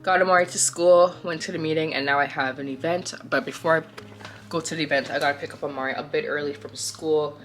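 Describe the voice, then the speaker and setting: A woman's voice: deep voice, steady tone, quick pace, a bit bright, reverberant, faint music behind. A young woman vlogging casually to camera, chatty and rambling, with a sore throat that makes her sound slightly nasal. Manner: chatty, rambling, self-correcting. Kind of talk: casual vlog chat to camera.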